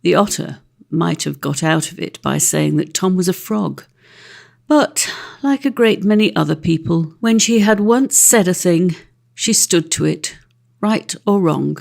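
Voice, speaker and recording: A woman's voice: fairly low pitch, expressive, unhurried, creaky, a little mellow. A woman reading a classic children's story as an audiobook narrator.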